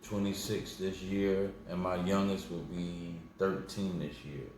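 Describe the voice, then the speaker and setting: A man's voice: sits low, fairly even intonation, unhurried, darkish tone. A man in his forties telling his life story in a casual interview, rambling and repeating words, proud when he talks about his family. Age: 40s. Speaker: guest. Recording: very echoey room with faint noise.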